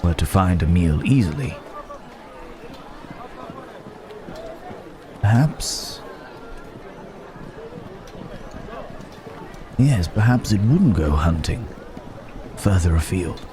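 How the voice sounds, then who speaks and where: Man acting a low, slow, musing werewolf gentleman. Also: lively intonation. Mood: intrigued, guarded. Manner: hesitant, musing, old-fashioned wordy phrasing. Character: courteous city-bred man secretly a werewolf, thoughtful, wary. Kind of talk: ASMR roleplay acting. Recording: market crowd and outdoor ambience noise. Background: bustling village market ambience.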